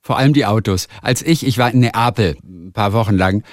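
A man's voice: lowish voice, brisk, no echo, clean studio sound. A man interviewing a guest, asking questions in an engaged, chatty way and adding his own views.

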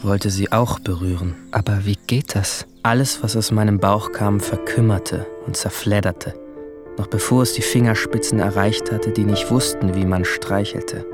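A man's voice: lowish voice, fairly even intonation, moderate pace, lightly acted. An actor narrating as a withdrawn, brooding 17-year-old boy in a radio drama, subdued and introspective. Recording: music behind the voice.

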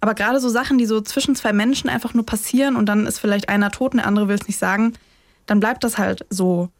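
A woman's voice: medium pitch, fairly even intonation, fast, dry recording, studio quality. A woman co-hosting a true-crime podcast in a casual, colloquial conversation. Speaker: podcast co-host.

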